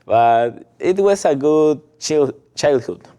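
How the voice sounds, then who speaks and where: A man in his twenties from Ecuador, speaking English with a Spanish accent as a guest, telling his story slowly and haltingly with little laughs. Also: a little high, expressive, dark tone, smooth. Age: 20s. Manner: slow, halting non-native English with frequent laughs. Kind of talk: casual interview, telling his life story.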